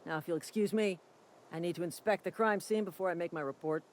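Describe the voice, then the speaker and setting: Game role: male actor as officious, apologetic corporate guard. High voice, folksy and bumbling, faint background noise. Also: quick pace, lightly acted. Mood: flustered, apologetic. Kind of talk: video game character acting.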